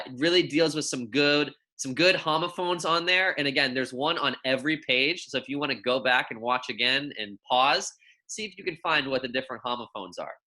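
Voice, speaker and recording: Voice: medium pitch, sharp tone. A teacher giving a read-aloud lesson for young children in a friendly, informal, encouraging way. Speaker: elementary school teacher.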